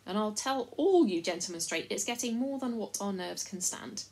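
A woman's voice: mid-range voice, animated, moderate pace, darkish tone, voice pushed up, close to an everyday voice. A woman voicing a rambling rustic chief of unseen folk. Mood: aggrieved. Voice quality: rustic, rambling, folksy speech. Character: bumbling invisible male chief, rustic and long-winded.

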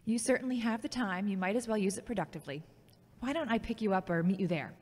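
Casting: confident, ambitious female journalist played by a woman, teasing and warm, mid pitch, long reverb. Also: fast, natural voice. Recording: echoey hall-like reverb.

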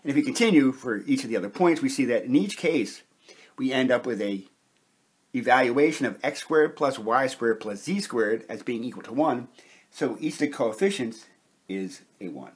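Male instructor, medium pitch, rough-edged husky tone, methodical, step-by-step explanatory lecture. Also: lively intonation, moderate pace. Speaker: chemistry instructor.

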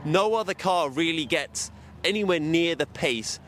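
A man's voice: fairly high pitch, expressive, measured pace, a bit bright. A young man works as a roving motorsport presenter. He speaks into a handheld microphone out in the open, with faint paddock noise behind him. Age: young adult. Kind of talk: roving paddock commentary and interviews. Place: outdoor motor racing paddock.

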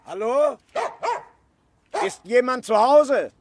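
A man's voice: very high-pitched, lively intonation, slow pace, noisy background, heavily acted. A man playing a dogged, reflective police detective in a German radio crime drama. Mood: calling out.

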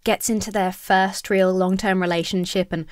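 A young woman talks into a close desk mic with a slight croak left over from a cold. Her delivery is sarcastic and animated, with quick asides. The sound is dry and close, with no room echo. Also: medium pitch. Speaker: commentary YouTuber. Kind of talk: casual commentary with sponsor read. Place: small home room, seated in gaming chair. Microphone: studio mic on stand near face.

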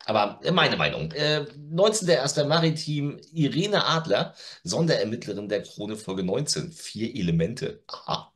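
A man's voice: mid-range voice, big pitch swings, moderate pace, few pauses, a bit bright. A man in his forties co-hosting a casual chat about new releases, opinionated and blunt, often interrupting himself. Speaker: co-host of an audio drama news show. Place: living room at home, on a video call.

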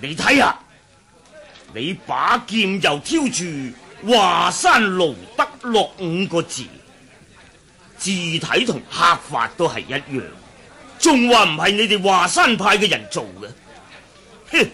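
Angry taoist elder, high husky voice, slow n accusing, radio play. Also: male voice, sing-song, full character voice. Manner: accusing, forceful. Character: irascible, stern male Taoist priest, sect elder. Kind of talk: Cantonese radio drama acting.

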